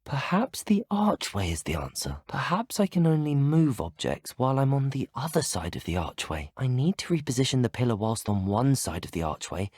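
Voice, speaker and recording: Casting: earnest young student wizard for a fantasy game. Husky, animated intonation, formal and well-articulated. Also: male voice, mid-range voice, moderate pace, hardly any pauses, no echo, studio quality. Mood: pondering. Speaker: video game player character voice actor. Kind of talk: scripted video game dialogue.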